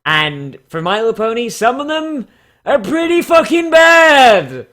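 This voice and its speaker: A man's voice: very high voice, sing-song, unhurried, fairly bright tone. A man giving casual comedic commentary and mock dramatic readings in a sarcastic, theatrical, foul-mouthed way. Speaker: YouTube host.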